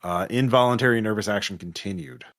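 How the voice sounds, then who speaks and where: Low-voiced man, halting game master. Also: a bit bright. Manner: halting, thinking aloud. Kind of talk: improvised narration of a tabletop horror game.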